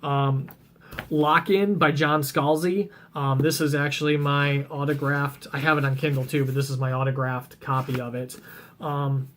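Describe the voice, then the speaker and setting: A man chatting casually about books he plans to read, rambling with lots of ums and restarts, his voice getting rough and scratchy. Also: a little high, flat delivery, moderate pace, a bit bright. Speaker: book vlogger.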